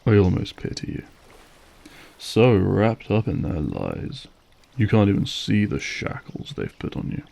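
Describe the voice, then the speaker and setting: Here is a suave vampire lord, acted by a man, with a dark, mellow voice that creaks at the edges. He toys with his captive in formal, mocking phrases, drawing out every threat with silky menace in a scripted audio drama. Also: on the low side, wildly swooping pitch, measured pace, full character voice. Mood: mock pity. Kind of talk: scripted audio roleplay acting.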